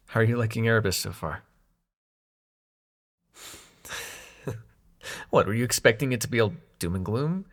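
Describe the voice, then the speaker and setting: A man's voice: mid-range voice, vocal fry, fairly bright tone. A man acting in an intimate audio roleplay as a young god of dreams, sarcastic and wounded but fond, talking in casual, sweary modern slang. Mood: relaxed, wry.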